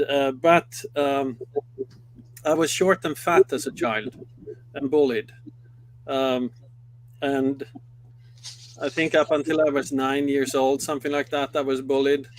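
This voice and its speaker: A middle-aged man from Sweden with a Swedish accent, a company head recounting his life in a live interview, halting and full of fillers and restarts. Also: medium pitch, a little hiss or hum, rough recording. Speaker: guest, company CEO. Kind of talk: live interview, personal story.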